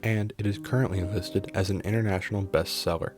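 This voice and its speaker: A man's voice: low-pitched, steady tone, a little fast, loud music behind the voice. A young man working as an interviewer, delivering a scripted introduction in an interview style. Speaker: interviewer, history graduate student. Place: indoors, recorded for a video call interview.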